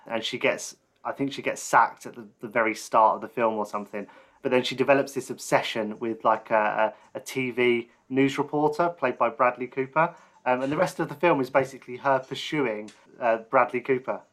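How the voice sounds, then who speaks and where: A man hosting a podcast interview in a relaxed, friendly conversational style. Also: mid-range voice, fairly quick. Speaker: podcast host. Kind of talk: casual interview chat.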